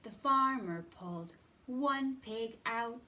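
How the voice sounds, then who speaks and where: Woman, children's storytime host, slow, sing-song, drawn-out words, highish voice, slightly distant mic. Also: expressive, bright, forceful tone. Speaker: children's librarian hosting storytime. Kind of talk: expressive read-aloud and counting for young children.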